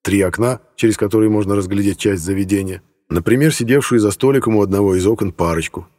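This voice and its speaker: A man's voice: lowish voice, fast, dark tone, long reverb tail. A man reading first-person fiction as a scripted audiobook narration.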